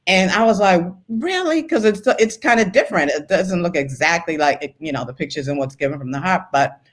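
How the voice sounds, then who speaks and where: A woman's voice: on the low side, lively intonation, brisk. Woman author talking and reading. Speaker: children's book author, guest. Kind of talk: casual interview talk, then storybook reading.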